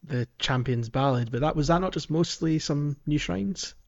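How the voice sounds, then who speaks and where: A man's voice: a little high, normal speed, vocal fry, muffled recording. A man chatting casually about a video game as a podcast host.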